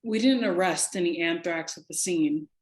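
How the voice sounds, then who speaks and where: A woman playing a composed female police agent in a screenplay table read, questioning in a measured, businesslike way. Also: fairly low pitch, natural voice. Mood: composed, probing.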